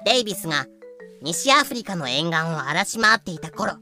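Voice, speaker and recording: Woman voicing a bright, prim know-it-all girl. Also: fairly low pitch, big pitch swings, fairly quick, soft background music, heavily acted. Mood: explanatory. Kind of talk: fan-made Japanese voice drama acting.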